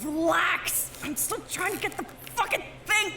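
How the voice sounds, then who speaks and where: A woman's voice: extremely high pitch, unhurried, creaky, echoey room, very noisy, full character voice. A woman voicing a brash, bossy young woman who swears constantly, in a fan audio drama.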